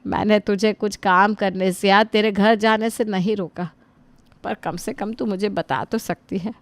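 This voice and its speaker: Picture a woman acting as a wounded old mother-in-law in a family drama. She grumbles and pleads in a mid-pitched, steady voice, recorded close and dry. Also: a little slow, no room sound, lightly acted. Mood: hurt. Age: older. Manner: wounded, scolding, sighing to God. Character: aging mother-in-law, put-upon and complaining.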